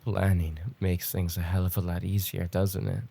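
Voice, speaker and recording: Man acting a deep, gravelly, creepy stalker. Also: little pitch movement, dark tone, heavily acted. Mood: calm, menacing. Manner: taunting, mock-tender, unhurried. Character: creepy, possessive male stalker, smugly menacing kidnapper. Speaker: ASMR roleplay voice actor. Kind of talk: ASMR roleplay acting.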